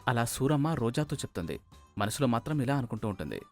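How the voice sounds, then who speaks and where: Fast, animated male story narrator. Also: mid-range voice, no echo, faint music behind.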